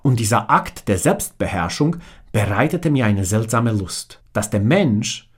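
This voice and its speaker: A man's voice: lowish voice, animated, measured pace, husky. A man in his forties from Bosnia with a strong Bosnian accent, reading his own memoir as an audiobook in a direct, plain way.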